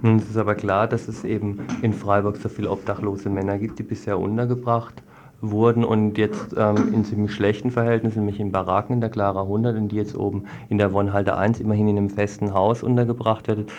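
A man's voice: lowish voice, level tone, brisk, darkish tone. A man working as a radio reporter, reading a report and interviewing in a formal, wordy way. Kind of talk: scripted news report and studio interview.

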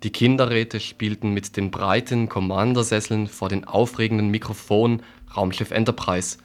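Low-pitched man, wry radio reporter. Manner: dry, ironic. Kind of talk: wry scripted radio commentary.

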